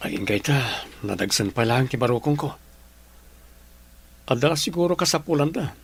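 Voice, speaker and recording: A man's voice: mid-range voice, expressive, moderate pace, gravelly, fairly bright tone, slight background noise. A man playing a young, protective boyfriend in a radio drama, earnest and guarded in his talk. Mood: guarded, troubled. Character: wounded, protective young man in love, wary of his parents.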